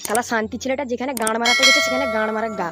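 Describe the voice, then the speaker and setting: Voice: mid-range voice, steady tone, very fast, heavy background noise, close to an everyday voice. A performer voicing an angry, suspicious, foul-mouthed father in a comedy cartoon. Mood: cursing. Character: angry, cursing, suspicious cartoon father scolding his son.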